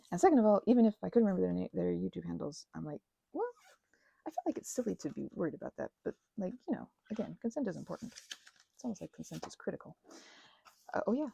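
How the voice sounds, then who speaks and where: A woman rambling casually as she crafts, talking in a gushing, halting way and often interrupting herself. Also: medium pitch, vocal fry, mellow, slightly rough. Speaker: hobby ASMR and roleplay audio creator.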